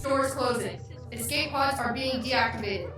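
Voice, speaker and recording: A woman's voice: fairly high pitch, measured pace, natural voice. A young woman voicing an impersonal spaceship computer making formal announcements in a radio play. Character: impersonal ship computer announcing system status. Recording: distant, echoey, music behind.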